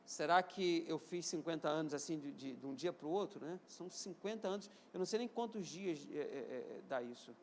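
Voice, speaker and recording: A man's voice: on the high side, moderate pace, hardly any pauses, slight background noise. A man in his fifties with a Rio de Janeiro accent, preaching a live church sermon and drawing the congregation into call-and-response. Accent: Brazilian, Rio de Janeiro. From Brazil. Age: 50s. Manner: call-and-response preaching, urging the congregation to repeat phrases and say amen; swings between loud emphatic peaks and quieter stretches. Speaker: pastor.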